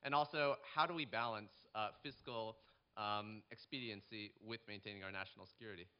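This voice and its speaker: A quick-speaking young man at an audience microphone. He phrases his question politely and formally, and the sound is a little dull. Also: fairly low pitch, fairly even intonation, smooth. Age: young adult. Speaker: student questioner. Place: auditorium audience. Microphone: stand microphone.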